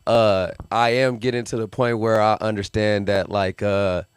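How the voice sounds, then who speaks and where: A man in his thirties, raised in Las Vegas after a Milwaukee childhood, talks through his story in an easygoing, reflective way. His voice sits low and comes across smooth and clear. The sound is close and dry. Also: fairly even intonation, a little slow, dry recording. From Milwaukee, Wisconsin; raised in Las Vegas. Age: 30s. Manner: laid-back, reflective, lots of fillers. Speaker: musician guest. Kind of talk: casual podcast interview.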